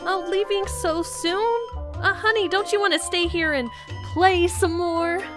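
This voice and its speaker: A woman's voice: extremely high pitch, fairly even intonation, unhurried, music in the background. A woman playing an overeager, awkward girl with a hesitant, gushing manner in a gaming roleplay. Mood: coaxing. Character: overeager, awkward girl stranger fawning over a pet. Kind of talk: roleplay gaming video dialogue.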